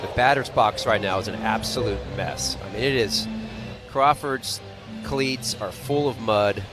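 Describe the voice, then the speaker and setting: A man's voice: on the low side, measured pace, over music. An American man giving conversational color analysis during a live baseball broadcast. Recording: clearly noisy live outdoor broadcast.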